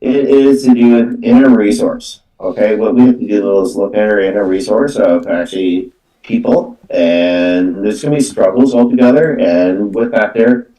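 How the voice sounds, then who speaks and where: Echoey, distant low-voiced man reading cards. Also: warm tone. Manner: repetitive, halting phrasing with verbal tics like "though" and "actually". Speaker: psychic medium doing card readings. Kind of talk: live spiritual card reading, improvised. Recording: echoey room, distant from microphone.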